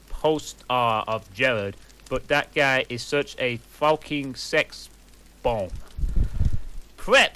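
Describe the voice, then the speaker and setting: Guy doing ditzy teen girl voice, high and bright, real slow. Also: slight background noise, exaggerated voice. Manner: airy, ditzy, slow and drawn out.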